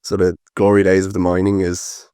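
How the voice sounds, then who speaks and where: A young man with an Irish accent talks easily as a podcast guest, wandering through his answers with a steady stream of fillers. His voice sits very low, and the sound is dry and close. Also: moderate pace, smooth, no echo. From Ireland. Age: 20s. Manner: relaxed, rambling with frequent fillers. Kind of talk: casual interview chat.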